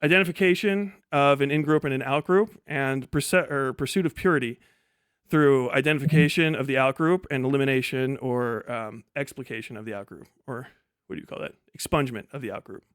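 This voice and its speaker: A man's voice: medium pitch, fairly quick, a bit bright, clear, resonant tone, no room sound, clean studio sound. A man debating politics on a livestream in an assertive, combative and pedantic way.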